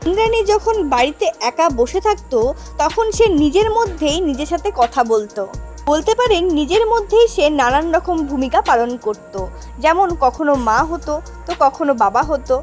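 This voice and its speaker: A woman's voice: high voice, expressive, normal speed, a little mellow. A woman hosting and narrating a scripted online video, speaking directly to her viewers. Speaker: channel host and narrator. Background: background music.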